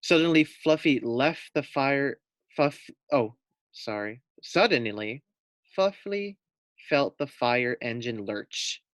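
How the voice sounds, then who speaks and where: Male teacher reading a picture book to small children: medium pitch, expressive, engages listeners directly, dry sound. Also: slow pace, no echo. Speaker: teacher reading a storybook aloud. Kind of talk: children's read-aloud with questions to pupils.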